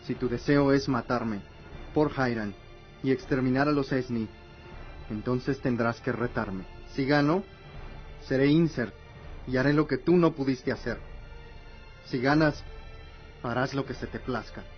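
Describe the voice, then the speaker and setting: A man's voice: medium pitch, a little slow, long pauses, close to an everyday voice. Radio drama role: earnest, defiant young warrior son male actor, wounded yet resolute, dull-sounding recording. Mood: grim resolve. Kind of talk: Spanish-language audio drama acting. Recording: muffled audio with music.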